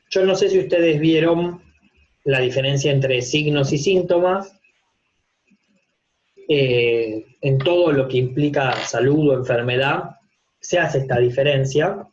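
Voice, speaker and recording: A man's voice: on the high side, slow pace, pauses often. A man with an Argentine accent giving an informal online university lecture from a home office, explaining step by step with everyday examples and rhetorical questions. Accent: Argentine Spanish. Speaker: university psychopathology teacher. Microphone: video call. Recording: dull, lo-fi video-call audio.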